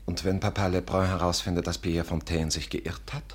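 An actor playing a vain, flattering Parisian art dealer, suave, florid and pompous, in a 1950s radio drama. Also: male voice, low-pitched, fairly quick, husky. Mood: courteous, hesitant.

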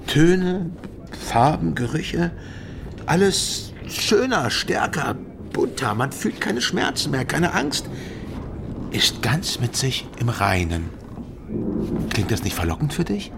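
An actor playing a swaggering, roguish pirate captain in a German radio drama, with a commanding, theatrical delivery. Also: male voice, a little high, sing-song, slow pace, vocal fry, long pauses, background noise, exaggerated voice. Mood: relishing, carefree. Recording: studio radio drama with loud music.

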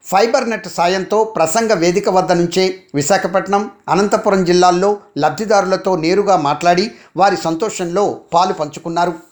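A male radio newsreader. He reads a scripted bulletin in a formal news style. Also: high voice.

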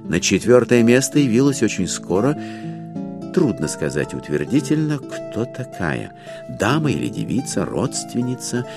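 A man narrating a dramatized literary classic in an expressive, wry storytelling manner. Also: medium pitch.

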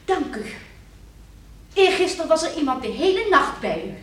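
A woman's voice: high voice, sing-song, moderate pace, slight background noise. An actress playing a prim, prying, moralising landlady in a radio play, polite but pointed. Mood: primly reproving. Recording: roomy, distant from microphone.

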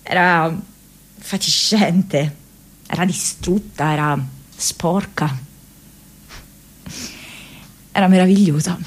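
An actress playing a restless, playful, intense college-age young woman in a radio theatre monologue, confessional and halting with dramatic pauses and bursts. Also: fairly low pitch, a little mellow. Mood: wonderstruck.

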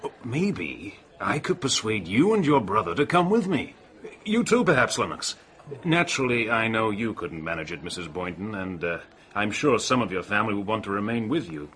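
Casting: genial man, lowish but forceful bright tone, animated, politely persuasive, radio drama. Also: normal speed. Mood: tactfully persuasive. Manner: hearty, polite, ingratiating. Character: genial, smoothly persuasive family friend on holiday.

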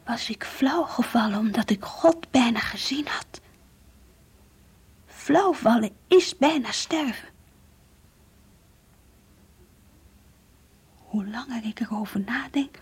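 Earnest, devout schoolgirl for radio drama: highish, very breathy, intense, confiding diary narration. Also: animated, unhurried, a little mellow, full character voice. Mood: pensive, secretive. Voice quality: breathy, husky.

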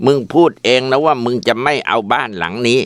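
A man's voice: mid-range voice, animated, a little fast, a bit bright, clear, resonant tone, no room sound, clean studio sound, voice pushed up, lightly acted. A man voicing an older mother who scolds in coarse, cutting insults. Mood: spiteful.

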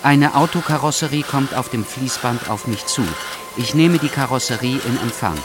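A man acting as a meticulous car-factory worker who narrates a scripted audio drama in a precise, deliberate manner. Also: mid-range voice, music in the background, close to an everyday voice. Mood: methodical, absorbed.

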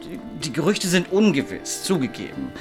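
An actor playing a wily captive Greek monk in a German radio drama, arguing slippery and swearing pompous oaths. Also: male voice, a little high, wildly swooping pitch, moderate pace, over music. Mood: evasive, glib.

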